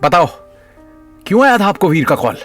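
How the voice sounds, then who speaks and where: High, insistent suspicious young man acted by a man. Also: sing-song, moderate pace, a bit bright, soft background music. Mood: demanding. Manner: demanding, urgent. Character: insistent, suspicious young male confronting a culprit.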